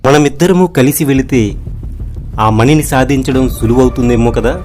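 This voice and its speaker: A man's voice: medium pitch, steady tone, moderate pace, a little mellow, a little hiss or hum, lightly acted. A man playing a kind, noble village hero in a cartoon story, speaking politely and earnestly. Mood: earnest resolve.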